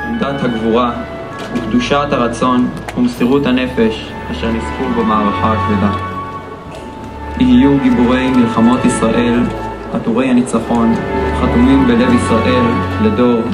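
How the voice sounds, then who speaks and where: Rapid, formal man over loud music. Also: medium pitch, little pitch movement. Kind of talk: formal ceremonial recitation.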